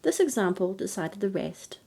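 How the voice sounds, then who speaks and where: A woman gently narrating a fairy tale as a bedtime story reader. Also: mid-range voice, very animated, normal speed, creaky, slightly rough.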